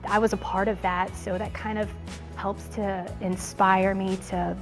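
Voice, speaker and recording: A woman teacher with a loud voice, speaking earnestly in an interview about her students. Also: mid-range voice, flat delivery, unhurried, music in the background. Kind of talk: interview testimony.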